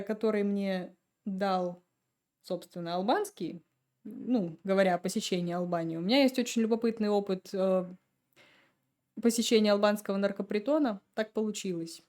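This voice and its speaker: A woman guest on a podcast, telling stories in a relaxed, chatty way and laughing often. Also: mid-range voice. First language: Russian. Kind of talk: casual chat.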